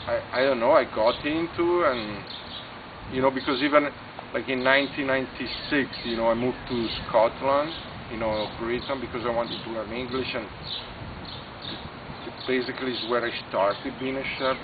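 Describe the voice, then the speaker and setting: A middle-aged Italian restaurant owner with an Italian accent, talking in a halting way, full of fillers and "you know". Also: male voice, medium pitch, fairly even intonation. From Italy. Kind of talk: casual interview. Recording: tinny phone-like audio with clear background noise.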